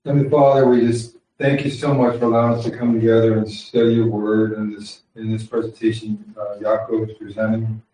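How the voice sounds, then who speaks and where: A man leading an opening prayer in an earnest, steady way. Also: on the low side, fairly quick, few pauses. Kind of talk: spoken prayer. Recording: very echoey room, far from the mic.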